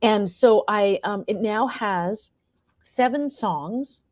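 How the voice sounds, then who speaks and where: A woman's voice: medium pitch, takes it slowly, a little mellow, no room sound, clean studio sound. A woman answering interview questions as a playwright and performer, conversational and reflective, often searching for words.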